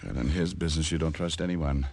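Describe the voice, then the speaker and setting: An actor playing a tough, wisecracking private detective in an old-time radio drama, with a wry, clipped, hardboiled delivery. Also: male voice, rumbling low, quick pace, creaky, a little mellow, slightly rough, full character voice. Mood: gentle, subdued. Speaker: lead character and narrator.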